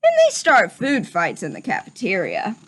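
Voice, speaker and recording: A woman's voice: medium pitch, huge pitch swings, normal speed, dry recording, pitched below the performer's own voice, poor audio. A woman voicing a stern, dismissive male school principal who scolds firmly in a children's storybook. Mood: scolding. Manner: firm, scolding. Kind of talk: children's storybook read-aloud.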